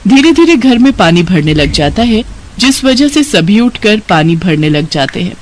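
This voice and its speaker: High-pitched male story narrator. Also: big pitch swings, moderate pace, slight background noise.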